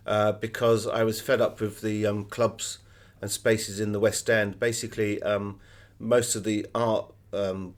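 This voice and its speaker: A middle-aged British man being interviewed as an author, telling anecdotes in a conversational way. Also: lowish voice.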